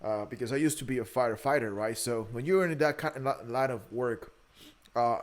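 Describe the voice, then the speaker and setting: A man in his early thirties with a Guatemalan Spanish accent speaks in a mid-pitched voice. He is a podcast guest sharing personal stories in a candid, conversational way. He often hesitates and repeats words as he searches for the next phrase. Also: brisk. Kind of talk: conversational interview, personal storytelling.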